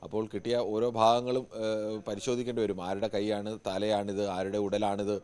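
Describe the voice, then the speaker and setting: A man's voice: lowish voice, level tone, brisk, darkish tone. A man giving unscripted live news analysis as a correspondent, explaining with frequent rephrasing.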